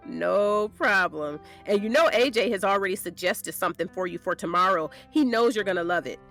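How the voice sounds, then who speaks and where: A woman playing a sharp, protective, sassy defense lawyer who questions frankly and colloquially in an audio drama. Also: mid-range voice, lively intonation, normal speed, vocal fry, faint music behind. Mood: wry, warm.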